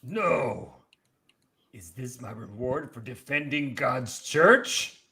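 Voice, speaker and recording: A man's voice: medium pitch, animated, slow, creaky, sharp tone, full character voice. A man voicing a vengeful, grandiose vampire prince in a theatrical, declamatory manner, in an amateur script reading. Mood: defiant. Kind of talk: amateur script read-through.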